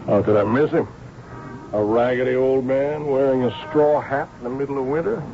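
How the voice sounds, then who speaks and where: An actor playing a seasoned, shrewd police inspector who tells his case in a measured, wry way in a radio drama. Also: mid-range voice, slight background noise, natural voice. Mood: watchful, decisive. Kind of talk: radio drama acting with first-person narration. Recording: dull old radio broadcast.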